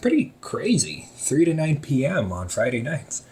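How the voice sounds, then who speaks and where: A relaxed older brother who runs a hobby shop, chatting and ribbing his sibling in an audio roleplay, acted by a man. He takes his time with each line, but his voice swings up and down in a lively, playful way. Small laughs keep slipping into his talk. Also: medium pitch, unhurried. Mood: casual. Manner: chatty, teasing, laughs often. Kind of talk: one-sided audio roleplay to the listener.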